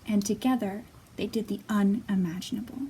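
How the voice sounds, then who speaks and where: A woman's voice: mid-range voice, normal speed, dark tone. A woman hosting a storytime for young children, talking in an encouraging, simple way as she reads aloud and leads activities. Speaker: children's library storytime host. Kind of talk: read-aloud and sing-along for young children. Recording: distant mic with faint background noise.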